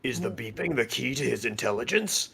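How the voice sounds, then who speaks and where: A man's voice: medium pitch, lively intonation, fairly quick, creaky, fairly bright tone, slight background noise. A man in a tabletop roleplay session, asking questions in formal, wordy phrasing. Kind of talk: casual game-session chat, dry in-character questions.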